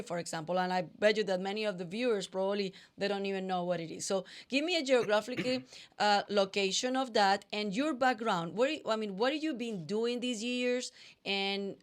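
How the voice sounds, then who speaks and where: Colombian-accented woman hosting a live talk show, chatty, smooth and resonant. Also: mid-range voice. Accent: Colombian Spanish. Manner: warm chatty, non-native grammar. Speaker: show host.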